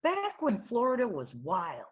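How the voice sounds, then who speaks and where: A woman reading a children's novel aloud as storyteller, in an expressive narrating style. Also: a little high, measured pace, slightly rough, narrow, tinny audio.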